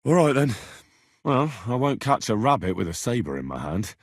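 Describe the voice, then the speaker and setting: Young medieval adventurer for a game: blunt and earthy, quick to threaten, husky voice, very mobile pitch, dry studio sound. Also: male voice, big pitch swings, no echo. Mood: earnest, matter-of-fact. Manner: blunt, earthy, sweary, conversational. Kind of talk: video game dialogue acting.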